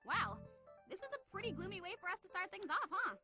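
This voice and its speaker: A woman's voice: very high-pitched, sing-song, quick pace, pitched above the performer's own voice. A woman voicing a perky, teasing, upbeat young female prison staffer in a visual novel, with music behind her. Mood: cheerful.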